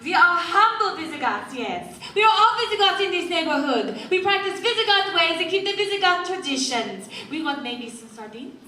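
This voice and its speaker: A woman's voice: extremely high pitch, animated, moderate pace, few pauses, sharp tone, full character voice. An actress playing a humble, earnest foreign immigrant woman in a comedic radio play. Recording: echoey convention room, distant camera.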